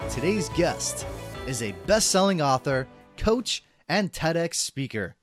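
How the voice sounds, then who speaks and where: A man's voice: a little high, very animated, unhurried, over music. A man hosting a podcast interview, upbeat and casual, often stumbling and repeating words. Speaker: podcast host.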